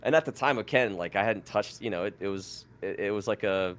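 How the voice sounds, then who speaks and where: Man, 30s, very low voice, French first language, rambling, lots of "like"s, casual storytelling. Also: a little fast, a bit bright. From France. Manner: rambling, lots of "like" fillers and restarts. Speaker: podcast guest.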